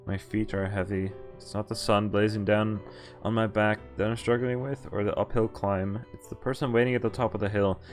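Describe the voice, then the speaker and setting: A man's voice: fairly low pitch, level tone, brisk, faint music behind. A man streaming a visual novel, reading its text aloud and dropping in casual reactions.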